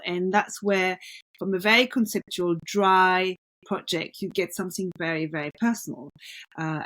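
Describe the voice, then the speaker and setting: A woman co-hosting a podcast, talking casually about an art exhibition, explaining at length with many fillers and restarts. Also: lowish voice. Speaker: podcast co-host.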